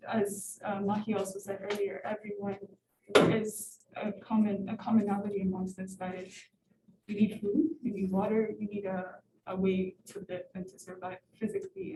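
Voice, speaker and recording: A woman's voice: mid-range voice, noisy background. A woman in her twenties from Ethiopia, a student asking a hesitant question from the floor at a university event. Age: 20s. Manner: hesitant, many fillers and restarts. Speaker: student audience member. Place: university lecture room. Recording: roomy hall, far from the mic.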